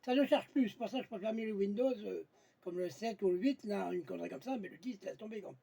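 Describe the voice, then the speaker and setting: A man's voice: high voice, fast talker, gravelly, dark tone, breathy edge. A man chatting casually on amateur radio, blunt and colloquial, often searching for his words. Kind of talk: casual radio contact chat.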